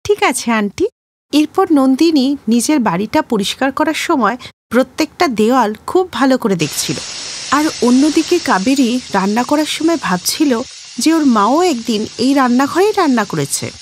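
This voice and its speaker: A woman's voice: high voice, expressive, moderate pace, a little hiss or hum. A woman narrating a scripted moral story for a cartoon, as a storyteller.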